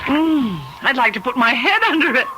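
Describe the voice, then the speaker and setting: A woman's voice: high voice, lively intonation, moderate pace. An actress playing a stranded, impatient, incredulous woman traveler in an old-time radio drama. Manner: demanding, exclamatory. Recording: very noisy old radio recording.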